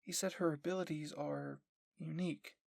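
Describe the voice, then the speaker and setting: A man playing an earnest, hesitant young woman in an audio story, explaining haltingly. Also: on the high side, fairly even intonation, gravelly, darkish tone, dry recording.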